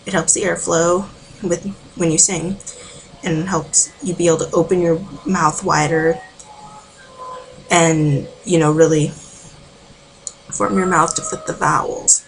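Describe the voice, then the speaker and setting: Low, gravelly-voiced woman teaching singing. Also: fairly even intonation, a little slow, long pauses, slight background noise, poor audio. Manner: rambling, informal, with filler words. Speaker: amateur singing tutor. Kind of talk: casual vocal warm-up tutorial. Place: home.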